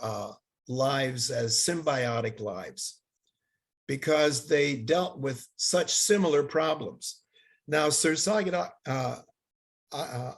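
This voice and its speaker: An older man who grew up in Bangladesh, giving a halting seminar lecture over slides, with many fillers and restarts. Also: mid-range voice, husky.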